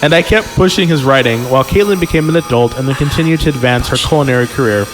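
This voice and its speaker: A man's voice: medium pitch, fast talker, faint music behind. A man hosting a comedy podcast, reading a story aloud and breaking in with incredulous, sweary reactions. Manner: exclamatory, incredulous, sweary asides. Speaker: podcast host and story reader.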